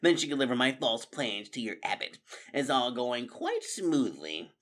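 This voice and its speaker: A man's voice: mid-range voice, huge pitch swings, moderate pace, a bit bright. A man voicing a bullying, scheming rat warlord in a storybook, commanding and threatening. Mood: gloating.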